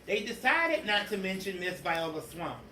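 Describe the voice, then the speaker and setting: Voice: mid-range voice, very animated, moderate pace, sharp tone. A storybook reader doing a theatrical, emphatic read-aloud of a children's picture book. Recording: echoey room, distant mic, faint noise.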